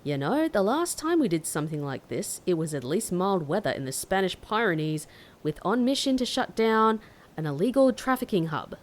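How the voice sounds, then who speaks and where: Low, sardonic undercover operative. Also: female voice, sing-song, normal speed. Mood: grumbling, sardonic. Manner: sardonic, blunt. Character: dry, skeptical female undercover operative, unimpressed partner. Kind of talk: audio drama acting.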